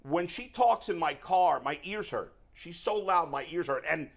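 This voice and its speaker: A middle-aged man with a New York accent and a deep voice, though it sits a bit higher than most men's. He rants in loose, exasperated bursts as a talk show co-host. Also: moderate pace, gravelly. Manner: animated, exasperated ranting. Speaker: sports radio co-host. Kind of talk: casual ranting chat.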